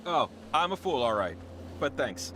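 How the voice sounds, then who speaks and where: An actor playing an anxious, talkative man in a video game, speaking in a halting, exasperated, rambling way. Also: medium pitch, sing-song, background noise. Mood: nervous, exasperated. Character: anxious, hesitant, talkative local man named Jacob. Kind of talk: naturalistic video game dialogue.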